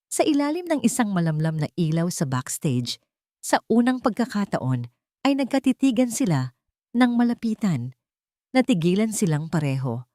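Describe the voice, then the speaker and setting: A woman's voice: fairly low pitch, a little mellow, no echo, studio quality. A woman reading a scripted dramatic story as narrator.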